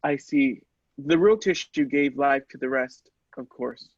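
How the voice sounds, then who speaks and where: A man's voice: mid-range voice, unhurried, no echo, dull audio. A man playing an earnest, shaken doctor in a radio play, agreeable and hesitant, with dramatic stammers. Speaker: podcast actor.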